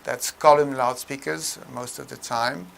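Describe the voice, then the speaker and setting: A man presenting a technical tutorial in an explanatory way, with a halting, hesitant delivery full of mid-phrase pauses. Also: medium pitch, steady tone, gravelly, fairly bright tone.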